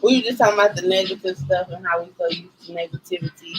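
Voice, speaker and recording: A woman's voice: fairly low pitch, slight background noise, rough recording. Chatty Southern woman on a livestream. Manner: chatty and quick, interjecting. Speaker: co-host of a couples livestream. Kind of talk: casual livestream chat.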